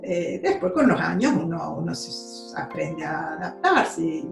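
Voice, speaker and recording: A woman's voice: low voice, sing-song, a little slow, vocal fry, echoey room, over music. A woman, giving a hesitant, reflective testimony with many fillers, as a guest on a remote call. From Argentina. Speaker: interview guest. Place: remote call from home abroad.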